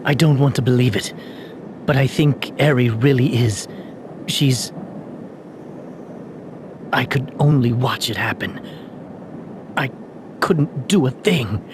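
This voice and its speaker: A man playing a somber, burdened young dark knight in a video game, speaking earnestly and hesitantly. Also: medium pitch, slow, long pauses, noisy background, lightly acted. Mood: grave, pained. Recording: game music behind voice. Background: music.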